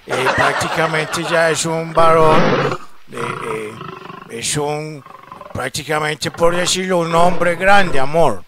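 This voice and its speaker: A Colombian man making a comedic prank call, smooth-talking and full of pet names. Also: fairly high pitch. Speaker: prank caller, show host. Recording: very noisy phone-call recording.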